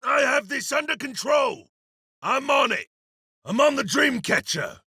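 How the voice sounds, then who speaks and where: A battle-hungry video game berserker, with a hard-edged, piercing bright voice pushed high. He is cocky and gruff, barking his lines through a raspy throat. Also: sing-song, a little slow, dry recording, clean studio sound, full character voice. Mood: urgent. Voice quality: gravelly, rough. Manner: forceful shouted battle barks.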